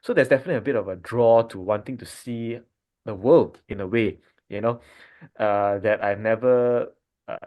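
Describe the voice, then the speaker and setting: A man from Malaysia, a doctor in his thirties, talking in a relaxed family podcast chat, thinking aloud with frequent fillers and restarts. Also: fairly low pitch, moderate pace. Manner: thoughtful, many fillers and restarts.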